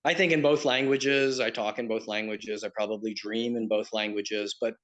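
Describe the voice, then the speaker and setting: A man's voice: fairly low pitch, normal speed, muffled recording. Man with a slight Southern American accent. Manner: relaxed, explanatory, with frequent fillers. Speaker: pilgrimage tour operator and guest. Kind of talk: conversational interview.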